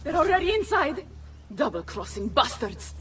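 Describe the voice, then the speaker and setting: A woman's voice: sits high, very animated, normal speed. An actress playing a proud, foul-mouthed Renaissance noblewoman with an Italian accent, bold and theatrical in a game cutscene. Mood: furious, defiant. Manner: bold, brash, theatrical, peppers speech with Italian. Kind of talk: dramatic game cutscene acting. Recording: loud music behind voice. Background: game soundtrack music.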